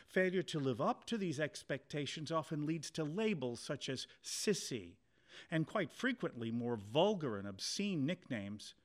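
A man with a fairly high voice reads a documentary passage in an earnest, measured way. His pitch moves freely up and down, giving the reading an animated lilt. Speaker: reader. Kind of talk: scripted documentary narration.

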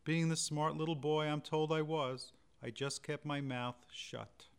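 Clear, higher-voiced man reading reflectively. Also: steady tone, a little slow. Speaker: reader. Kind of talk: first-person memoir reading.

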